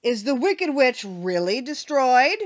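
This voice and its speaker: A great and terrible storybook wizard, performed by a woman, speaks with solemn, booming self-importance. The words are loud and grandly pronounced, and the recording is slightly dull. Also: big pitch swings, slow pace, heavily acted. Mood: demanding. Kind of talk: audiobook character voice.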